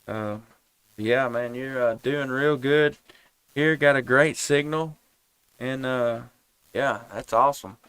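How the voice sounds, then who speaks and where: A man's voice: mid-range voice, takes it slowly, fairly bright tone, slight background noise. A man, laid-back and folksy, chatting casually as a ham radio operator and live streamer. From United States. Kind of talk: casual amateur radio chat. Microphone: headset and boom microphones.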